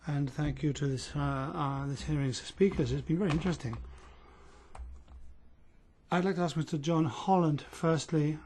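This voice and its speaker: A man interpreting at a parliament committee hearing, speaking in a steady, measured way with an uneven pace. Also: fairly high pitch, a little hiss or hum.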